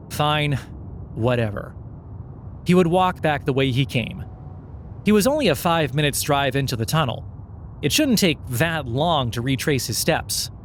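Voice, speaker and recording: A man's voice: fairly high pitch, slight background noise. A man narrating scripted satirical fiction in a sardonic, mock-earnest storytelling manner.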